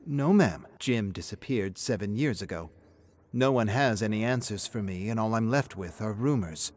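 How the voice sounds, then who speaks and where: A man's voice: on the low side, moderate pace, close to an everyday voice. A man voicing an eager, earnest female podcaster in a story, speaking politely and persuasively.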